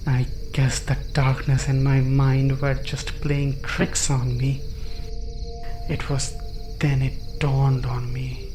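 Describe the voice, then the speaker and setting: A man narrates first-person horror fiction at a slow, deliberate pace. His medium-pitched delivery is suspenseful, with dramatic pauses. Music plays clearly behind the voice. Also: monotone. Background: background music.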